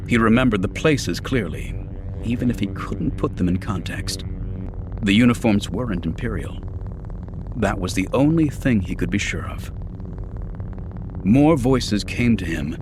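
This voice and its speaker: Low-voiced man narrating a dramatic audiobook. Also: background noise. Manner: measured, serious, polished reading. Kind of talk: dramatic scripted narration of science fiction.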